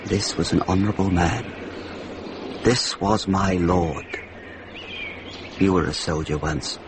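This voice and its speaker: A man's voice: low voice, fairly even intonation, slow pace, noisy background. An actor playing a stern, honour-bound English lord and lawman in a radio drama, speaking firmly. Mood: stern, grieved. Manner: firm, interrogating.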